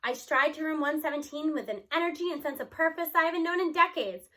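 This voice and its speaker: A woman reading a children's novel aloud in an expressive storytelling style, as narrator. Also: high-pitched, fast.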